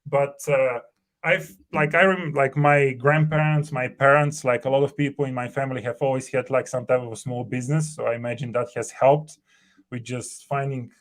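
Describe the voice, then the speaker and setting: A man's voice: medium pitch, steady tone, moderate pace. Bulgarian-native guy on a video call from home, earnest, lots of uhs and restarts. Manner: earnest, thinking aloud with many fillers and restarts. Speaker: startup co-founder, guest. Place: home room.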